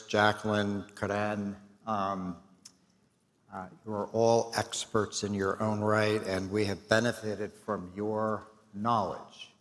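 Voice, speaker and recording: A middle-aged man, hoarse and losing his voice, giving host remarks and thanks at a conference with a self-deprecating, filler-heavy manner. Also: lowish voice, fairly bright tone. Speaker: executive director. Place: large conference hall. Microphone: stage mic. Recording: long hall reverb.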